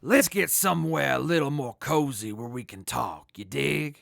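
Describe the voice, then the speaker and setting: An actor in an audio drama playing an earnest hepcat military captain who talks in jive beatnik slang. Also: male voice, medium pitch, normal speed, vocal fry, fairly bright tone, no echo. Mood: easygoing, proud.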